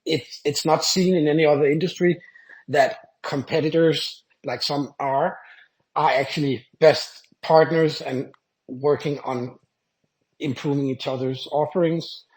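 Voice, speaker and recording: Upbeat guy with a kinda high voice on a panel, stumbles over words a bit. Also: steady tone, unhurried, darkish tone, poor audio. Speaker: panel guest, company founder. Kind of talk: online audio panel discussion.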